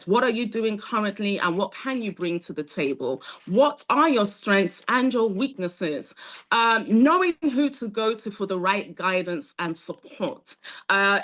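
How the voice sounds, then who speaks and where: A woman's voice: mid-range voice, a bit bright. Encouraging woman teaching a live class. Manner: direct, encouraging, many fillers. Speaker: instructor at a training academy. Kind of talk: live online masterclass.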